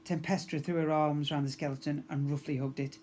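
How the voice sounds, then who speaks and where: A man reading a children's story aloud in an expressive narrating style, as a teacher would for young pupils. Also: a little high, a little fast.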